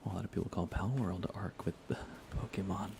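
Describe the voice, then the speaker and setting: A man speaking in a soft, breathy whisper, giving unhurried, gently playful ASMR commentary while he plays a video game for viewers. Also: sits low, a little hiss or hum. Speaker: livestreamer playing a video game.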